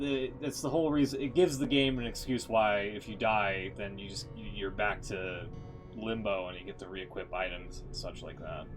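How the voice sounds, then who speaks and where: A man streaming a game live, giving casual commentary with musing, wry asides. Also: on the low side. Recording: game music behind the voice. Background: game music.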